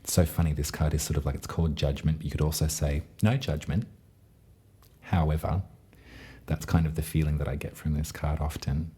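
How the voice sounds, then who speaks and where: A man's voice: extremely low pitch, little pitch movement, fast talker, warm tone, slightly rough. A man giving a chatty, affectionate tarot reading, speaking straight to his listeners. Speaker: tarot reader.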